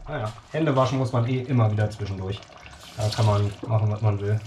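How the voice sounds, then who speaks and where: A man's voice: lowish voice, fairly quick, noisy background. A man in his thirties hosting a casual home cooking video, chatting with lots of fillers as he works in a small kitchen, picked up by a camera mic across the room. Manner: chatty, many fillers, talks while cooking. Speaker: cooking show host.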